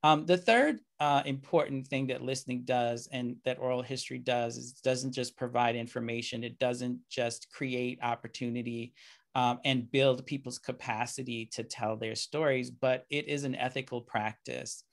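A middle-aged man giving a keynote lecture over a video call, speaking in a measured, reflective way with frequent "um" and "you know" fillers. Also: mid-range voice, steady tone, creaky. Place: indoor room, video call.

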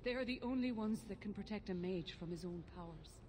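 A woman's voice: mid-range voice, normal speed, noisy background, lightly acted. An actress playing an anxious, earnest elven mother who fears for her child, in grave fantasy game dialogue.

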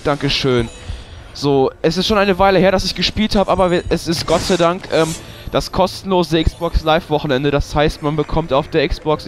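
A young man, giving casual gameplay commentary, swearing and ranting when he loses. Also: medium pitch. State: has a cold. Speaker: let's play host.

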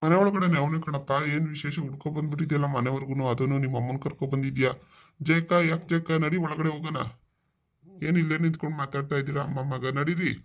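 Middle-aged village dad for cartoon drama, played by a man: slightly high voice, fast delivery, stern, reproachful questioner. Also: fairly even intonation, lightly acted. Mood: curious. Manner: interrogating, reproachful. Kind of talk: family comedy drama for a cartoon story.

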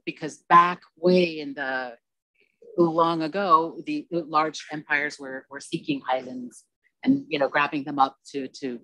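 A woman's voice: low-pitched, fairly bright tone, no echo, low-quality recording. Woman on a stage panel, earnest, rambles a bit, handheld mic, dry close sound. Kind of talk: panel discussion. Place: conference stage, seated on a panel.

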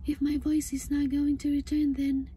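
A woman in her thirties with a hoarse, breathy voice that often breaks into a whisper, upset and halting as she gives a casual personal update to camera. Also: high voice, completely flat delivery, mellow. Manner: halting, hesitant. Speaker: vlogger. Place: inside a parked car. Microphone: phone at arm's length. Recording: faint background noise in car.

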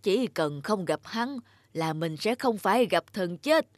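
A woman's voice: medium pitch, animated, a little slow, no room sound, studio quality, lightly acted. A woman voicing a frail, anxious old grandfather in a folk-tale cartoon, with hesitant, fearful delivery. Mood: fearful brooding.

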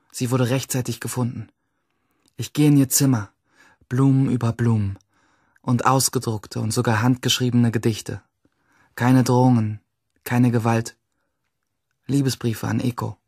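A man's voice: mid-range voice, darkish tone, breathy edge, no room sound, clean studio sound. Terse, guilt-ridden teenage boy. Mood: hopeful. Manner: terse, clipped fragments. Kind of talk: radio play interior monologue. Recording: music behind the voice.

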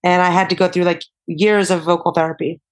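A woman's voice: on the low side, little pitch movement, a little fast. A young adult woman, a singer-songwriter guest on a podcast, chatting casually and filling her talk with "like" and "you know". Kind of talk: casual interview chat.

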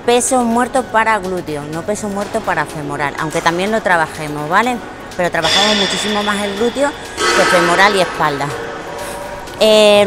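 A woman in her thirties explaining gym exercises on a lapel mic, in a relaxed teaching way with frequent fillers and repeated words. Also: medium pitch. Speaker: fitness trainer. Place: large indoor gym. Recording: music playing behind the voice. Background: background music.

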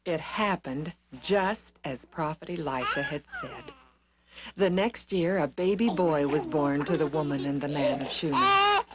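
A woman storyteller narrating a scripted children's Bible story in a deliberate, expressive way. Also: low-pitched.

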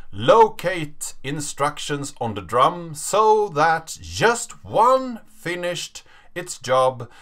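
A man in his thirties reads a story aloud in a dramatic, deliberate way. His voice is crisp-edged and bright, a little high for a man, with lively swings in pitch and a slow pace. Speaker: narrator reading a story aloud. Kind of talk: dramatic reading of a written story.

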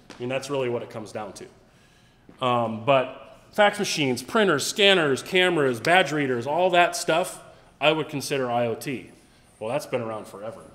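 A man's voice: mid-range voice, fairly bright tone. Blunt, wisecracking male tech speaker with a mid-range voice, echoing in a big hall. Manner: blunt, salty, joking with swearing. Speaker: conference speaker. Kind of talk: casual conference talk. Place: large conference room with stage.

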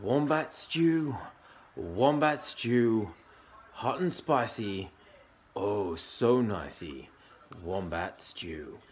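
A man's voice: mid-range voice, sing-song, slow pace, sharp tone, a little hiss or hum, pitched above the performer's own voice, exaggerated voice. A man voicing a clever, greedy dingo in a children's storybook, exclamatory and theatrical. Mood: gleeful chanting. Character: clever greedy male dingo, boisterous singing schemer.

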